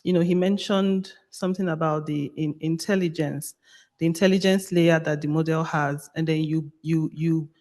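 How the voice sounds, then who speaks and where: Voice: mid-range voice, steady tone, normal speed, darkish tone. Nigerian panelist explaining in detail. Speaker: panelist on AI language models.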